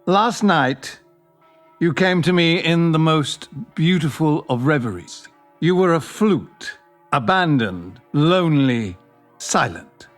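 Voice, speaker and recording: An amorous, poetic British man in an audio drama. His voice sits fairly high and moves expressively, with measured, romantic phrasing. There is a wry, wistful streak to him, and music plays behind. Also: lively intonation. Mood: tender, romantic. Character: amorous, wistful, poetry-loving male lover.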